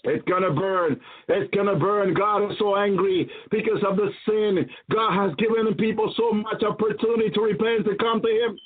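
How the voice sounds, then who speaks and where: Male preacher, very high pitch, fervent repetitive exhortation, tinny phone-like radio audio. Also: fairly even intonation, moderate pace, hardly any pauses. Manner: emphatic, repetitive, exclaiming preacher's delivery. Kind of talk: fervent apocalyptic sermon. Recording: thin phone-like radio sound.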